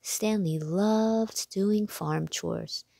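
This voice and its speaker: Woman narrator, children's read-aloud, very slow pace, creaky voice, measured phrasing, dry sound. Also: mid-range voice, mellow. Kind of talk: scripted children's storybook narration.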